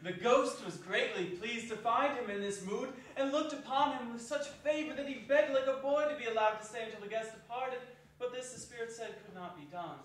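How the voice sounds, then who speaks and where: High-pitched male narrator in echoey hall. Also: fairly quick, hardly any pauses, a bit bright. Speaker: narrator in stage reading. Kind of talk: scripted theatrical narration. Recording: echoey hall, distant, faintly noisy.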